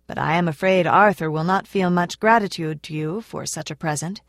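A woman voicing a calm, stern but fond father who speaks in a measured, restrained way. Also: on the low side, no echo, clean studio sound, close to an everyday voice.

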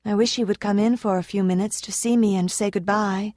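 A woman voicing a sweet, earnest little girl who speaks in a soft, pleading way. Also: medium pitch, fairly even intonation, fairly quick, dry recording, clean studio sound, close to an everyday voice.

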